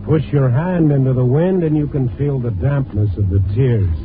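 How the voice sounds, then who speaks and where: A man's voice: medium pitch, normal speed, close to an everyday voice. Old tinny radio show w music, guy acting a hard-boiled night beat reporter, wry and world weary, 50s style. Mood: brooding. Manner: lyrical, terse, hard-boiled. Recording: tinny 1950s radio recording.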